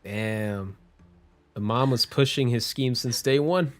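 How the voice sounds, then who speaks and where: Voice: mid-range voice, slow pace. A streamer giving casual, joking gameplay commentary in a sarcastic, sweary manner. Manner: sarcastic, profane. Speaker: streamer playing a story game.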